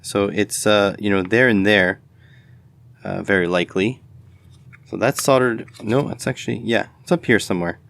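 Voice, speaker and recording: A man's voice: fairly low pitch, moderate pace, vocal fry, a bit bright. A man giving casual hands-on commentary as host of an electronics teardown video, tentatively thinking aloud as he goes.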